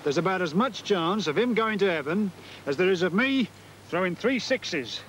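A man's voice: high-pitched, lively intonation, normal speed, a bit bright, a little hiss or hum. A man playing an irreverent medieval peasant in an educational drama, wry and mocking, recorded outdoors under trees. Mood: scoffing. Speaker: peasant character.

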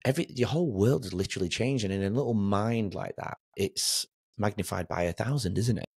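A man's voice: fairly low pitch, fairly quick, gravelly, darkish tone, slightly rough, no echo, studio quality. A middle-aged man hosting a podcast, chatting casually with his guest in an empathetic, affirming way. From outside Australia. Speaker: podcast host. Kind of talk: casual interview chat. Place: home room with shelves, indoors. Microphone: close desk podcast mic, headset.

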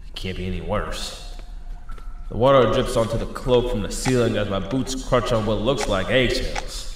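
A man's voice: on the low side, moderate pace, slight background noise, lightly acted. A man playing a nervous, sarcastic young vigilante searching sewers in an audio drama, narrating his thoughts. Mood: uneasy, grim. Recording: very echoey tunnel reverb, music underneath.

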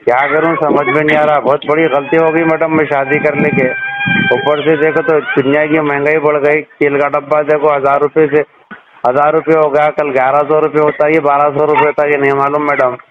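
A man's voice: a little high, level tone, fairly quick. A man with a Hyderabadi accent rambling through exasperated, repetitive complaints over the telephone. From Hyderabad Old City, India. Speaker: called customer. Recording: noisy narrowband phone call recording.

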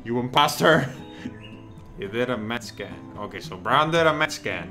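A man's voice: animated, normal speed. A man hosting a casual gaming session, playful and teasing, laughing often and chatting with friends over a headset mic. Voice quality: deep-sounding mic voice. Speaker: gamer host. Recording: game music behind.

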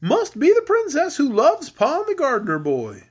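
Reader acting male storybook scarecrow, high-pitched, lively swoops, kindly, theatrical, exclamatory. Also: normal speed. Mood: curious. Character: kindly, animated male living scarecrow, comforting and dramatic. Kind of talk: storybook character voice.

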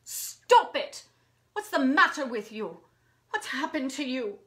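A woman's voice: on the high side, very animated, slow, fairly bright tone, heavily acted. A woman acting in a stage play as a possessive mistress, coaxing and pleading with her lover. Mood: indignant.

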